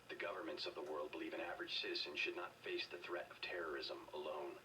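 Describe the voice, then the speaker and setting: A stern video game government agent with an extremely deep voice and a quick delivery. He is clipped, firm and serious, heard a little distantly over faint noise. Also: fairly even intonation, bright, forceful tone. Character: stern, loyal male government agent, gruff defender of the state.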